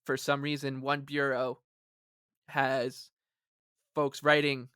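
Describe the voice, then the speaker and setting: A man co-hosting a casual sports podcast, rambling along in an animated, emphatic way. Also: medium pitch, slow pace, smooth, dry recording, studio quality. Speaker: podcast co-host.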